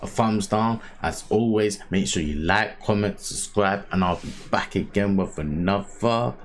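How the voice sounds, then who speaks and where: A man in his thirties with a British accent, reacting casually to a music video, recorded on a headset in a small home room. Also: lowish voice. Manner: slow, hyped interjections between song plays. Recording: loud rap music playing behind.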